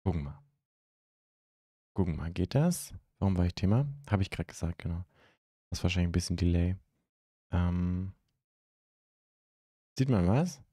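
A young man from Germany hosting a live stream, chatting casually and haltingly as he answers viewer comments into a close microphone. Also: deep voice, expressive, mellow, dry recording. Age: young adult. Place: indoor streaming room at home. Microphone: close microphone on a stand.

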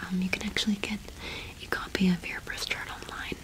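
A young woman doing an ASMR shopkeeper roleplay in a gentle, unhurried whisper with pauses, close to the microphone. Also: medium pitch, little pitch movement, rough recording. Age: young adult. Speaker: ASMR performer playing a cottage witch shopkeeper.

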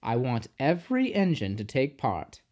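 A storybook narrator voicing a grave, authoritative male railway controller with measured speech. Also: mid-range voice, huge pitch swings, a little mellow. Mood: grave, dry. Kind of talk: children's storybook character voice.

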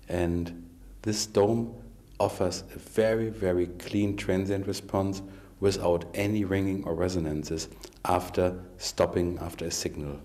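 A middle-aged man, a company executive, giving a prepared interview statement with deliberate, slightly halting phrasing. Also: low voice, mellow. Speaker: company president and chief executive. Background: faint music.

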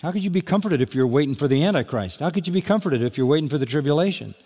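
A man's voice: on the high side, animated, very fast, warm tone, slightly rough. An older man preaching an expository sermon, earnestly reading scripture aloud and stressing key points as a pastor. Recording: large hall with long reverb.

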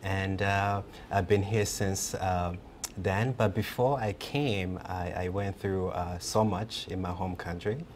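A young man, speaking earnestly as a guest in a TV news studio interview and pausing often on fillers. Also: sits low, fairly even intonation, slight background noise. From Rwanda. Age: 20s. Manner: earnest, halting with many fillers.

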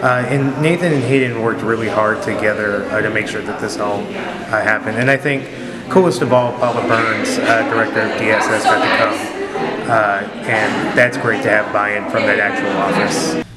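A young man giving a casual interview answer with a measured, hesitant delivery. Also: on the low side, fairly even intonation. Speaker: student organization director. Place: indoor meeting room. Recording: echoey room, loud crowd chatter behind.

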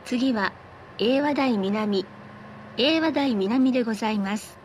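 A woman reading scripted bus announcements in a polite, formal, measured way. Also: fairly high pitch, slight background noise.

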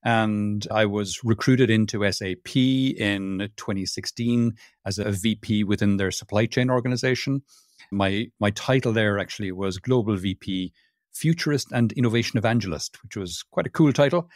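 Irish man from Cork, low dry voice. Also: normal speed, no room sound. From Ireland. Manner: chatty, self-deprecating, repeats words when restarting. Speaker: podcast guest, sustainability consultant. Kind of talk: casual interview talk.

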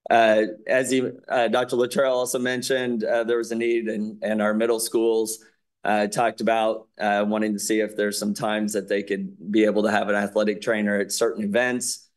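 A man reporting on an athletics contract at a school board meeting, speaking haltingly with many fillers. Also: fairly low pitch, flat delivery.

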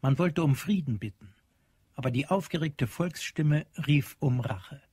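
A man narrating a radio play from a script in a measured, literary, dramatic manner. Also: fairly high pitch, animated, darkish tone, slightly rough, dry recording. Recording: dry studio with faint music.

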